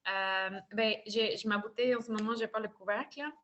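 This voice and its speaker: A woman from Mexico gives a casual, hesitant testimonial over a video call, with many pauses and fillers. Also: mid-range voice, steady tone, fairly bright tone, highs cut off. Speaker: customer giving a testimonial.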